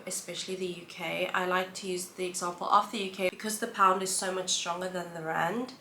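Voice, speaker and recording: A South African woman in her thirties, chatting to camera about her life in a relaxed, reflective way with listing pauses. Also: fairly low pitch, slight background noise. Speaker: vlogger. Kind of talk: casual sit-down chat to camera. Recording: roomy echo, faint background ambience.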